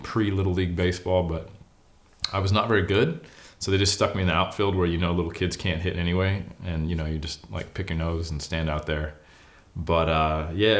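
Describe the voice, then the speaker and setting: A man of forty with an American accent, talking casually and candidly about himself as an interview guest, with a self-deprecating manner. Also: deep voice. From California, United States. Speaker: interview guest, bodybuilder and academic. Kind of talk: casual interview, personal storytelling.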